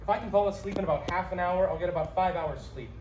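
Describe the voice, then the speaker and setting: A woman's voice: fairly low pitch, quick pace, darkish tone, recorded from a distance, close to an everyday voice. A woman acting a practical young newlywed husband in a stage comedy-drama, dry, sarcastic and exasperated. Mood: dry, irritated. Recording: echoey room with background noise.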